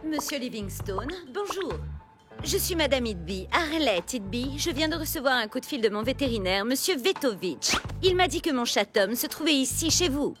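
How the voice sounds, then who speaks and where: A woman's voice: high-pitched, animated, normal speed, music in the background. A woman voicing a prim, insistent cat-owning lady in a French cartoon. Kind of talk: French animated cartoon dub.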